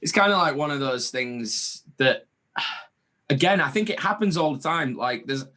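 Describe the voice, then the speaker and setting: A man co-hosting a podcast, rambling and swearing casually in relaxed chat. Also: a little high, unhurried. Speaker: podcast co-host.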